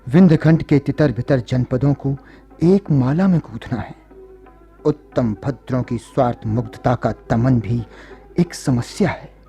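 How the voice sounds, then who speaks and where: A man playing a grave, resolute noble statesman, speaking with measured solemnity in a radio drama. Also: medium pitch, vocal fry, dark tone, lo-fi sound, faint music behind. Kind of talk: Hindi radio drama acting.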